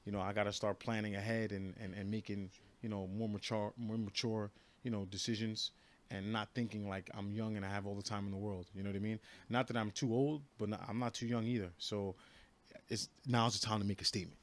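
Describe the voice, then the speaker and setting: A man in his thirties from New York speaks in a fairly low voice, sounding sure of himself. He trips over his words and repeats them often. The talk is loose and chatty, a fighter giving an interview. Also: flat delivery, brisk. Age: 30s. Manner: repetitive, stammering restarts, brash and confident. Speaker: professional fighter. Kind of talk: casual interview.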